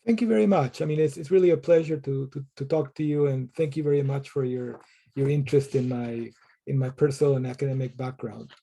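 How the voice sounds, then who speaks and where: A man's voice: medium pitch, fairly even intonation, brisk, gravelly, mellow, husky. A middle-aged man from Peru, a professor answering interview questions thoughtfully, often repeating words as he restarts. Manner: thoughtful, frequent word repetitions and restarts.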